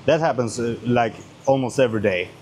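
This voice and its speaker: A man with a Swedish accent speaks in a medium-pitched voice, casually answering questions as a YouTuber. His delivery is blunt, peppered with swearing and repeated phrases for emphasis. Some ambient noise sits faintly behind his voice. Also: fairly quick, darkish tone. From Sweden.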